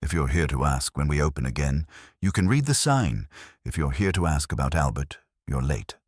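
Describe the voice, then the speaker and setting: A deep-voiced man as weary young woman apprentice. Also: sing-song, normal speed, breathy edge, no room sound, clean studio sound, heavily acted. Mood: resigned, guarded. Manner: curt, guarded, wry.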